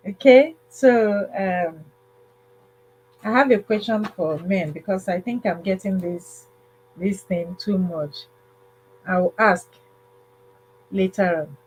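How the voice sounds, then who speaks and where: A woman hosting a livestream, casually telling a story in a halting way, repeating phrases and checking in with listeners. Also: mid-range voice, darkish tone, a little hiss or hum, low-quality recording. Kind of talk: casual spoken storytelling with advice.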